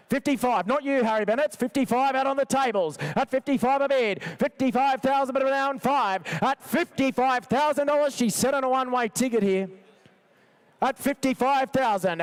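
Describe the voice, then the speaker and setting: A man with a very high, ringing, clean voice works as an auctioneer. He rattles through bids in a rhythmic chant, repeating figures insistently to keep the sale moving. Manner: repetitive, insistent bid-calling. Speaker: livestock auctioneer. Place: sales arena.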